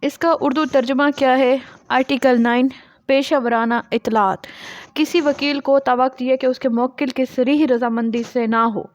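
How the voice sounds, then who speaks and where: A woman's voice: high voice, fairly even intonation, normal speed. A woman giving a law lesson, reading out formal text and explaining it by posing and answering her own questions. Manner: explanatory, poses questions and then answers them. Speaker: law lecturer.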